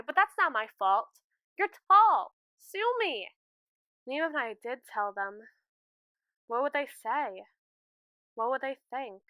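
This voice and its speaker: A woman's voice: fairly high pitch, smooth, no room sound. A woman playing a lovestruck, anxious schoolgirl in an audio roleplay, chattering expressively and dramatically to a friend. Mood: flustered, exasperated. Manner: expressive, rambling, theatrical teenage chatter. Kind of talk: ASMR roleplay, one-sided conversation with a friend.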